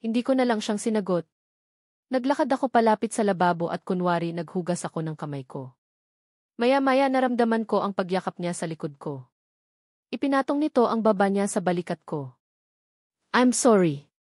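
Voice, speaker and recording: A woman's voice: medium pitch, animated, fairly quick, darkish tone, no echo. Filipino woman narrating a romance story, dry sound. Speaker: audiobook narrator. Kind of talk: first-person romance novel narration, dialogue read aloud.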